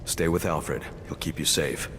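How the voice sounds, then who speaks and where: Very deep, terse brooding hero. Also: male voice, measured pace, noisy background. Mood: terse, grave. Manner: terse, serious, controlled. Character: grave, protective billionaire, secretly a masked vigilante. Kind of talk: video game cutscene acting.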